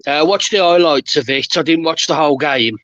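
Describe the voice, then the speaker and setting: A man's voice: a little high, a little fast, fairly bright tone, muffled recording. A man, chatting as a guest, weary and sighing, with short echoing replies. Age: 30s. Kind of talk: casual chat.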